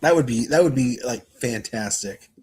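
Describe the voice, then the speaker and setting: A man's voice: mid-range voice, lively intonation, normal speed, breathy edge. An enthusiastic man hosts a casual sports livestream. He talks in a promotional, friendly-chat style, like someone signing off a show and plugging what comes next. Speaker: sports talk show host.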